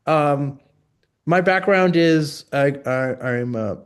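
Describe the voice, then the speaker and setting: A man in his sixties who grew up in Italy and New York City, a sculptor talking as an interview guest in a halting way, with many fillers and restarts. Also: on the high side, smooth. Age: 60s. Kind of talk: casual interview talk.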